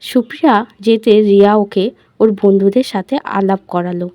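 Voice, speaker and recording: A woman's voice: mid-range voice, moderate pace, no echo, muffled recording. A woman reading a scripted love story as its narrator.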